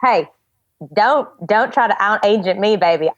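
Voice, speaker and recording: A woman playing a brash, pushy child talent agent, rambling through a folksy sales pitch in improvised comedy. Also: moderate pace, no room sound, muffled recording, close to an everyday voice. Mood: hard-selling.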